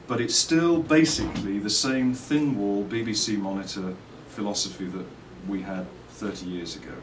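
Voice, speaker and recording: A middle-aged man with an English accent, giving reflective, articulate interview answers in measured phrases and telling anecdotes as a company owner and designer. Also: fairly low pitch. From England. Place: indoors at a trade show. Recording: roomy, distant mic, faint background noise.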